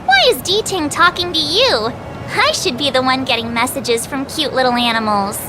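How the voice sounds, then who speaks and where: A woman's voice: high voice, expressive, normal speed. A woman playing a cute, self-assured young girl adventurer in a video game, perky and earnest. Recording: game dialogue over background music.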